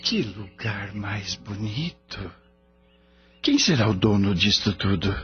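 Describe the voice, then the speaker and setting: A man's voice: on the low side, expressive, slow pace. An actor playing a curious, naive Brazilian man full of bewildered questions in a radio drama. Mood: wondering. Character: curious, bewildered man visiting a spirit world. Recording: dull lo-fi radio recording with music. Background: music.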